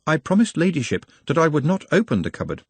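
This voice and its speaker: A man's voice: fairly high pitch, sing-song, fast talker, breathy edge, no room sound, voice pushed up, heavily acted. A man voicing a meek, weary, very polite goblin-like creature with formal, patient speech in an audiobook. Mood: hesitant, entranced. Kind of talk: audiobook character acting.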